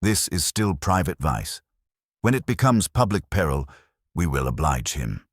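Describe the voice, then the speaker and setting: A man plays an imperious older genius detective from a mystery audiobook. He is pedantic and pompous, with a very low, husky voice and formal, measured phrasing. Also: sing-song, dry recording, studio quality, full character voice. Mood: commanding.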